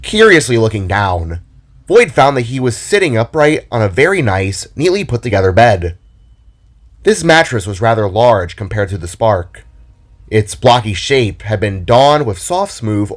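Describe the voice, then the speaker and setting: A man narrating a scripted story in a dramatic, deliberate and theatrical way. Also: on the low side, sing-song. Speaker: narrator and author.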